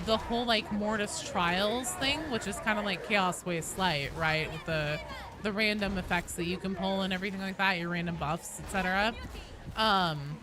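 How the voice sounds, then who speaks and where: Woman, game streamer, mid-pitched, very lively intonation, casual, chatty, excitable, sweary, noisy game background. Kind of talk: casual live stream chat with gameplay reactions.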